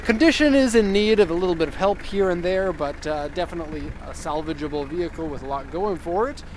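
A man's voice: on the high side, lively intonation, fast talker. A man in his thirties giving an upbeat, casual walkaround review of a truck outdoors in a park, recorded on a handheld camera mic. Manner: upbeat and enthusiastic, with laughs. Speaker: car exporter reviewing a vehicle. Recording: outdoor noise behind voice.

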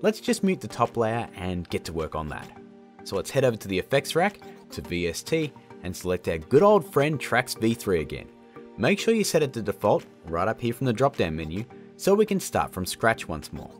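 A man's voice: lowish voice, huge pitch swings, moderate pace. A man in his thirties narrating a tutorial in a casual, informal way. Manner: informal, addresses viewers as guys. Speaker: host of audio effect tutorials. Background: background music.

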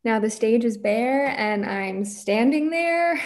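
A woman's voice: a little high, expressive, slow pace, darkish tone. A young woman playing an earnest, defiant girl who dreams of being a cowboy, in a script table read. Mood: wistful, theatrical. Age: young adult. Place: room at home, on video call.

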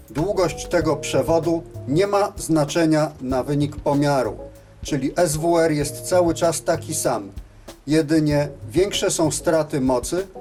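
A man's voice: on the high side, darkish tone. A man giving scripted, methodical and matter-of-fact instructional narration as the presenter of a technical tutorial.

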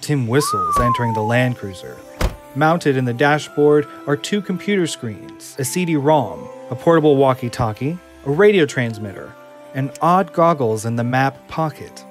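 Male narrator, scene directions, plain reading, mid pitch, clear music behind. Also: wildly swooping pitch, moderate pace. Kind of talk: scripted narration of an audio drama.

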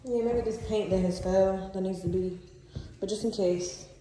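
A woman's voice: medium pitch, fairly even intonation, moderate pace, over music. A woman chatting casually and informally to viewers and a companion as she films a house tour on a handheld phone. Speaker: vlogger giving a house tour. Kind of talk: casual vlog chat while walking. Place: empty house rooms, indoors. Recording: very echoey empty rooms, noisy phone audio.

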